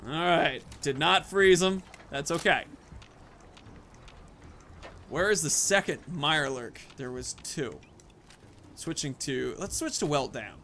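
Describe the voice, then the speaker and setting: Male gaming host, higher-pitched and bright, casual think-aloud play commentary over music. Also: expressive, slow pace, long pauses, a little hiss or hum. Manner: thinks aloud, narrating own moves and choices. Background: video game music.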